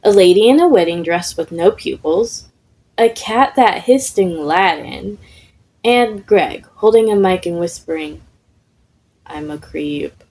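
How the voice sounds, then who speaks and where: A woman's voice: mid-range voice, a little slow, darkish tone, low-quality recording. A woman reading a scripted story aloud as a narrator. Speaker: fan fiction podfic reader.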